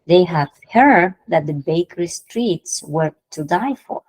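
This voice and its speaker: A woman's voice: lowish voice, expressive, unhurried, a little mellow, no echo, low-quality recording. A woman teaching English idioms in an online class, explaining patiently, repeating phrases and checking in with her students. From Peru.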